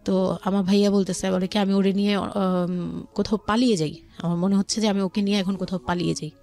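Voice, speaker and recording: A woman's voice: medium pitch, fairly even intonation, a little fast, mellow, faint music behind. A woman with a Bangladeshi accent telling her personal story conversationally as a guest, often voicing what relatives said. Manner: quotes relatives' words as she narrates.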